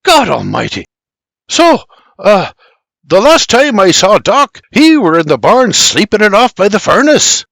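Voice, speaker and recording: A man voicing a blustering, excitable frontier homesteader in an audiobook story. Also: high-pitched, a little slow, vocal fry, no echo, studio quality, pitched above the performer's own voice, exaggerated voice. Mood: startled, questioning. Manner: blustering, exclamatory.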